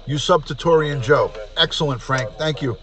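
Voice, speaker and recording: New guy hosting a livestream, chatty, repeats himself, keeps clearing his throat. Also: on the high side, fairly quick, a crowd in the background. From New York. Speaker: livestream host, retired detective. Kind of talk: casual livestream chat, reading comments aloud.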